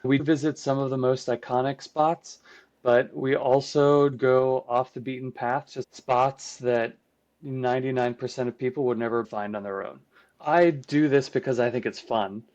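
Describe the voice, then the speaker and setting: A man's voice: mid-range voice, fairly even intonation, normal speed, darkish tone. Dull-sounding man explaining in conversation. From United States, Croatian immigrant family. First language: Croatian. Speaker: podcast guest, trip guide and composer.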